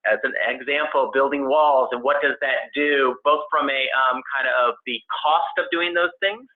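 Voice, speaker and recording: Male investment presenter, mid pitch, halting and technical, heard over a narrow, phone-grade conference call. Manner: halting, hedging, technical explanation. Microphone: conference call line. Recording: tinny phone-line conference call audio.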